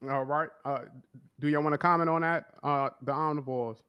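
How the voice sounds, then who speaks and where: A man with a fairly high voice moderates an online debate. He pauses often on fillers while reading viewer comments aloud to the panel. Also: steady tone, smooth, muffled recording. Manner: hesitant, many "uh" fillers.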